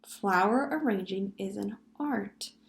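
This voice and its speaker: A woman's voice: mid-range voice, takes it slowly, vocal fry, reverberant. A woman voicing a patient, indulgent and cheerful mother in a children's story.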